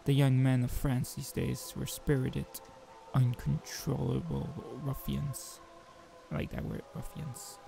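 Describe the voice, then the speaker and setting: A man with a gravelly voice reading a visual novel aloud as a game player, mixing narration with informal joking asides. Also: mid-range voice, little pitch movement, slow, warm tone, soft background music, rough recording. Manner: informal, joking commentary between read passages.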